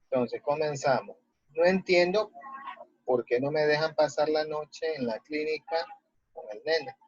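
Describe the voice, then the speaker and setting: A man with a Latin American Spanish accent and a husky voice, giving a didactic literature lesson as a teacher and reading texts aloud. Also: fairly high pitch, a little slow, no echo. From Ecuador. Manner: didactic, checking with rhetorical questions. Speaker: high school language and literature teacher. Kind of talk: online lesson, explaining and reading a text aloud. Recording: muffled lo-fi audio, very dry.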